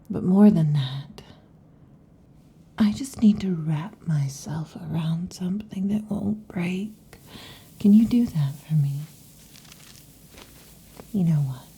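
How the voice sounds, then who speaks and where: A woman playing a restless, possessive lamia girlfriend in an intimate ASMR roleplay, with a breathy, husky half-whisper and halting, teasing delivery. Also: fairly low pitch, mellow, exaggerated voice. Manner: halting, teasing, predatory intensity. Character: restless, possessive female lamia monster girlfriend next door.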